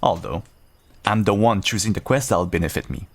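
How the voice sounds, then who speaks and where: Seasoned werewolf adventurer for fantasy audio roleplay, male actor: very low, gravelly, gruff yet kindly, teasing and reassuring. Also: fairly quick. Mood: amused, agreeable. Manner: reassuring, wry, bantering. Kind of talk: audio roleplay, one-sided dialogue addressed to listener.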